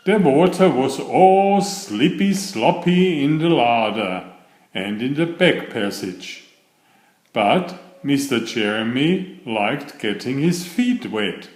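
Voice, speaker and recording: A man narrates a children's story at a slow, deliberate pace, his pitch rising and falling with plenty of animation. The voice sits in a medium male register, with audible room echo around it. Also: lively intonation, a little mellow. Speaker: storyteller reading children's picture books. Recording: roomy echo on the voice.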